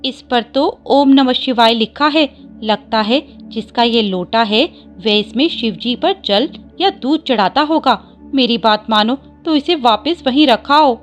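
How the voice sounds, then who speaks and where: Woman voicing a devout, sensible village wife, high-pitched. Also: slow pace, soft background music. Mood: concerned, advising. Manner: advising, questioning. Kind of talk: Hindi devotional story dialogue.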